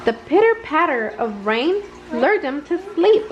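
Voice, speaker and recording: A woman reads a children's bedtime story aloud expressively, with some halting slips. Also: sits high, big pitch swings, highs cut off. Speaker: storybook reader.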